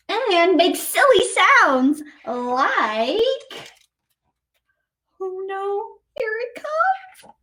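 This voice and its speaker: A woman hosting a live story time for children, reading and singing in a playful, exaggerated sing-song way and talking straight to the kids. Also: extremely high pitch. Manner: addresses young children directly with questions. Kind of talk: live read-aloud and sing-along for kids.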